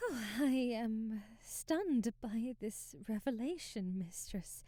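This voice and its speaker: A woman playing a shy, devoted young housemaid in an ASMR romance roleplay, speaking softly and breathily with hesitant, genteel, old-fashioned phrasing and frequent whispers. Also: a little high, expressive, drawn-out words, no echo, clean studio sound, heavily acted. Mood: tender, stunned. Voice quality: soft, breathy, often dropping to a whisper. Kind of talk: ASMR romantic audio roleplay, period drama. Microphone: close mic, dry.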